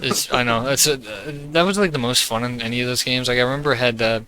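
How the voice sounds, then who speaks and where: Young male podcast host, bright tone, easygoing and jokey, quick to laugh. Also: medium pitch, smooth, poor audio. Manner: laughs easily, relaxed joking. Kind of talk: casual gaming chat.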